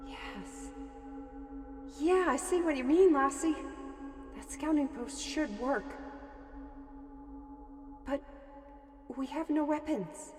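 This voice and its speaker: A woman's voice: sits high, slow, pauses often, darkish tone. Fantasy audio drama heroine female actor: determined, guarded, emphatic, reverberant, over music. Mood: urgent, thoughtful. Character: determined, wary heroine with a staff. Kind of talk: fantasy audio roleplay acting.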